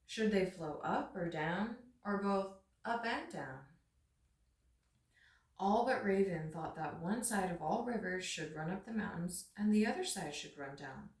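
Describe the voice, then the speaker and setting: A woman's voice: lowish voice, lively intonation, measured pace. A woman giving a dramatic storybook reading aloud, recorded in an echoey room at some distance from the microphone. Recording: roomy echo, distant microphone.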